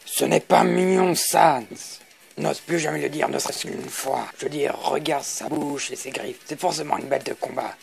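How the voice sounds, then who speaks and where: A man voicing grumbling, theatrical skeleton brothers in an amateur comic dub, with a gravelly voice. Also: on the high side, steady tone, normal speed, a crowd in the background. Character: pompous skeleton boss and his weary, sarcastic brother.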